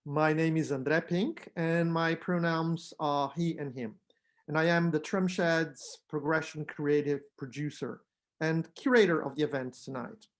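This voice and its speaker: A man's voice: on the high side, fairly even intonation, measured pace. A man whose native language is Brazilian Portuguese, introducing an online arts event as its producer and host, talking in an earnest, welcoming way.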